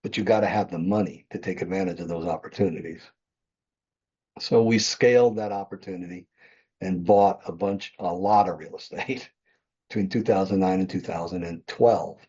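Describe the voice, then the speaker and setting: An older man in his sixties talks through his past in a loose, meandering way, often stopping to correct himself. His voice is low, dark and a little husky. The podcast audio sounds dull and lo-fi. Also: normal speed. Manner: rambling, self-correcting, chuckles often. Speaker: podcast guest, real estate developer. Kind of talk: conversational interview storytelling.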